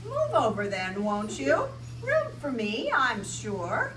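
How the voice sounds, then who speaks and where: A woman voicing a sly male fox in a storybook tale, coaxing and smooth, recorded far off in an echoey room. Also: on the high side, wildly swooping pitch, takes it slowly, background noise, exaggerated voice. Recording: echoey room, distant mic.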